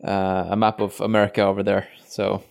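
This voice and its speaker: A man's voice: fairly low pitch, monotone, brisk, vocal fry, clean tone. A man hosting a casual conversation, easygoing and hesitant, with many fillers as he finds his words. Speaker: podcast host. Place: friend's house, video call.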